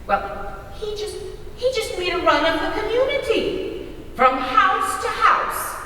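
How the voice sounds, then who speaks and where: A woman reminiscing in a folksy, slightly halting way as a performer in a staged reading. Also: extremely high pitch, lively intonation, bright, forceful tone, heavily acted. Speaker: readers theater performer. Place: large hall, live stage reading. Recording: echoey hall from far off, faint noise.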